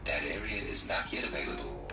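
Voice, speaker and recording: A man voicing a calm, polite caretaker robot in a video game that speaks in scripted, programmed phrases. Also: very deep voice, brisk. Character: patient male caretaker robot called Father. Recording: echoey game audio over loud music.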